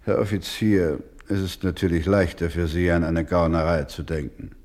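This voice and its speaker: Low-voiced, solemn older doctor, played by an actor. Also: male voice, fairly even intonation, a little mellow, close to an everyday voice. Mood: calm dignity. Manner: solemn, deliberate, formal, with emphatic repetition. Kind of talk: German radio play acting.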